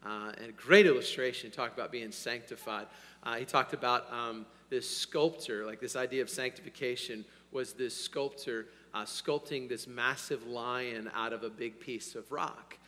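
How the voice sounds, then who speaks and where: A man preaching a sermon to his congregation, hoarse, energetic, swinging between loud bursts and quiet asides and checking in with "all right?". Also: medium pitch, moderate pace. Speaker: pastor. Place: church sanctuary. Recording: long hall reverb.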